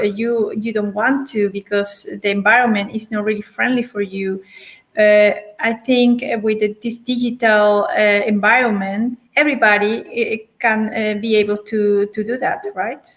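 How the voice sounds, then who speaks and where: A woman hosting a panel over a video call, speaking haltingly with many fillers. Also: mid-range voice. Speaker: host. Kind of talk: panel discussion talk.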